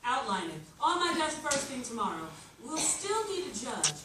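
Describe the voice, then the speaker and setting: Impatient female TV executive, played by an actress at a table read: sarcastic, lively pitch, loud background noise. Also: normal speed. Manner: sarcastic, demanding. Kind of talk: screenplay table read, acted dialogue. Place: live staged reading in a room. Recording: echoey room, distant mic, loud noise.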